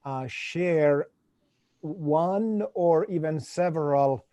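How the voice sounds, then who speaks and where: A man's voice: a little high, drawn-out words, dark tone, no room sound. A man moderating a panel on a video call, friendly, often repeating words as he goes.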